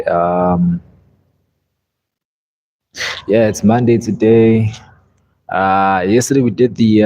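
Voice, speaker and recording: A man's voice: on the low side, dark tone, highs cut off. A young man from South Africa, whose first language is Zulu, chatting casually and rambling on a live stream, often saying "man". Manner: laid-back, rambling, says "man" often.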